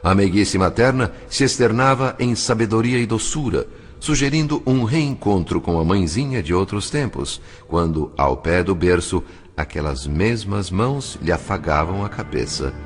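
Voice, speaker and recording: A man's voice: deep voice, lively intonation, normal speed, few pauses. A man with a Brazilian accent reading scripted dramatic narration as the narrator of a story. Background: background music.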